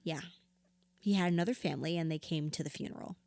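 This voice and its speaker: Grieving widow for therapy audio drama: lower female register, reflective, candid, wry, dry studio sound. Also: quick pace, creaky, a little mellow, dry recording. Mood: somber. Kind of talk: audio drama acting, conversational.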